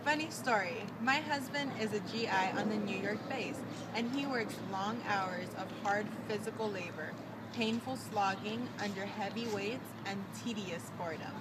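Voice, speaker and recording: A woman's voice: mid-range voice, normal speed, lightly acted. A woman playing a dutiful 1940s wartime mother and mechanic, reciting earnestly in a mock radio interview. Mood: earnest, proud. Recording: distant mic with clear outdoor noise.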